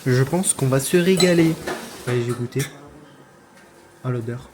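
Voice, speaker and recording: A man's voice: medium pitch, unhurried, noisy background. A young man talking casually to camera in a vlog, rambling with lots of fillers and restarts. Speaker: vlogger.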